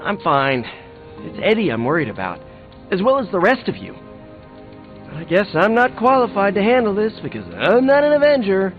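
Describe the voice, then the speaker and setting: An actor playing an earnest, anxious young superhero in a video game, talking in a worried, conversational way. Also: male voice, high voice, very animated, moderate pace. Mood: frustrated, worried. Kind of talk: video game character dialogue. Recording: narrow phone-like game audio, faint music.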